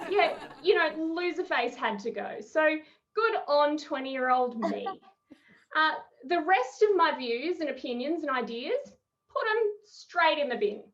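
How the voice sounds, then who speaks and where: Aussie woman around 30 doing standup on zoom, high voice, wry, muffled noisy headset sound. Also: measured pace. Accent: Australian. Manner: expressive, wry, chuckling between phrases. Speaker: stand-up comedian. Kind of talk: stand-up comedy set over video call. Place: small room at home. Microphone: headset on a video call. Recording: muffled, noisy video-call audio with clicks.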